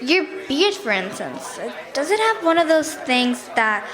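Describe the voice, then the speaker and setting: A woman's voice: high-pitched, sing-song, measured pace, crowd noise. A female performer playing a precocious, polite, sceptical little girl in a radio drama, prim and matter-of-fact. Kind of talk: live stage radio drama acting. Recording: live stage, audience and music behind.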